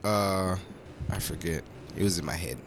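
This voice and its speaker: A man's voice: low voice, normal speed, creaky. A man co-hosting a casual podcast, posing questions and rambling as he searches for words, with some swearing. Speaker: podcast co-host.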